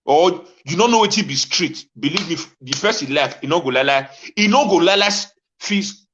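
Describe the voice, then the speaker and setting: A man's voice: a little high, expressive, normal speed, a bit bright. A Nigerian-accented man delivering an impassioned informal rant to his audience in Pidgin, exclamatory and insistently repeating his phrases. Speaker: talk show host. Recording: dull lo-fi audio.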